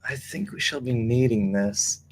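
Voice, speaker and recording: A man's voice: medium pitch, unhurried, natural voice. A man playing a drunken, lecherous, smug aristocratic villain in a dramatic table read. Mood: playful, drunken.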